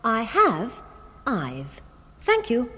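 A woman's voice: on the high side, huge pitch swings, extremely slow, noisy background, heavily acted. An actress playing a prim young woman who carefully corrects grammar in a cartoon English lesson. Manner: precise, schoolteacher-like. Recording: reverberant with clicks behind.

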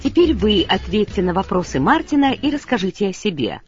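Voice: mid-range voice, big pitch swings, fast. Instructional narrator over music. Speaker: language course narrator. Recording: dull lo-fi recording with music.

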